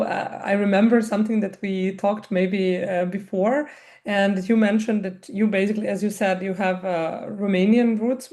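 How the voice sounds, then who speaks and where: A woman's voice: medium pitch, dark tone. A woman hosting an interview, asking questions and encouraging her guest with frequent affirmations. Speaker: podcast host. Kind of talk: casual interview conversation.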